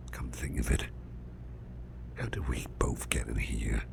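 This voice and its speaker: A man playing a gruff, flustered boss in an ASMR roleplay, muttering haltingly in a growly, breathy half-whisper and repeating himself. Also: a bass voice, background noise, heavily acted. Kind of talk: ASMR roleplay, spoken softly to the listener.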